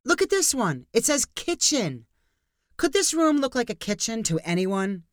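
An irritated, guarded woman who has just moved house, as a female narrator puts her on. She answers curtly in a slightly lifted, lively voice, and the recording is dry. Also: on the high side, moderate pace, dry recording, pitched above the performer's own voice. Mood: irked. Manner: curt, annoyed.

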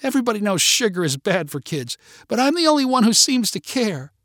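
Guy doing a prim british teacher lady, earnest, lecturing, pitched up, very swoopy. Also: huge pitch swings, moderate pace, husky, no echo, studio quality, exaggerated voice. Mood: pouting. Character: earnest young woman, prim British schoolteacher act, easily flustered.

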